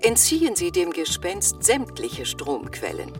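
A woman playing a formal automated menu voice in a children's radio play, measured and officious. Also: on the low side, huge pitch swings, exaggerated voice. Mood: warning. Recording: distant voice over clear music.

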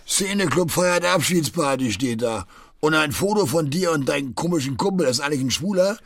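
A man's voice: on the high side, expressive, a little fast, dry recording, clean studio sound. Middle-aged male pimp, radio drama. Bossy street slang, fatherly condescension over open menace. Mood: threatening. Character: jovially menacing middle-aged red-light district pimp. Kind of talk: radio play dialogue.

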